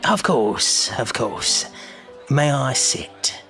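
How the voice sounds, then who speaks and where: An actor playing a wily old leader of child thieves in an audio drama, with an English accent, a gravelly voice and a theatrical, ingratiating manner. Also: male voice, medium pitch, lively intonation, sharp tone, soft background music, exaggerated voice. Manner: theatrical, ingratiating, drawn-out delivery.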